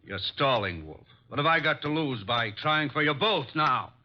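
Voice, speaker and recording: A man's voice: medium pitch, expressive, normal speed, close to an everyday voice. Man playing a cornered killer, muffled radio sound. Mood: threatening. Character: cornered, desperate killer man, hard and bargaining. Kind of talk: 1940s-50s radio drama acting. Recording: dull, muffled old radio recording.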